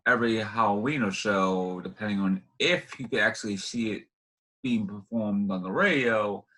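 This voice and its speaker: A man hosting a casual interview, talking in a halting way and often rephrasing himself. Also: lowish voice, a bit bright, a little hiss or hum, low-quality recording. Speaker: host interviewer.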